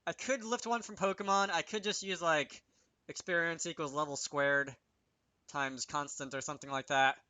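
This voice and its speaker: A man with a very bright, crisp voice talks through a programming tutorial off the cuff. He thinks aloud haltingly, pausing to work things out, and the sound is close and dry. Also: a little high, steady tone, dry recording. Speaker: game developer presenting a tutorial series. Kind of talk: unscripted screen-recorded programming tutorial.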